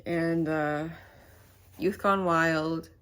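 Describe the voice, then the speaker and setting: A woman sits at home chatting straight into her camera mic, her voice low and a little stuffy and nasal. She rambles, pausing and hunting for words as she goes. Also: fairly even intonation, a bit bright. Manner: rambling and halting, many fillers. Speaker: channel host. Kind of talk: casual to-camera vlog chat.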